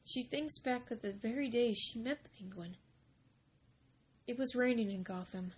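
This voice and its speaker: A woman narrating a story informally, halting and deliberate, with dramatic pauses between short phrases. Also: on the high side, husky.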